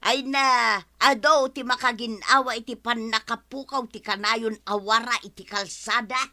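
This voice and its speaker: An actress playing a sharp-tongued, nagging wife who scolds in a village radio comedy. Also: female voice, a little high, fairly even intonation, moderate pace. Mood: scolding. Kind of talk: comedic radio drama acting.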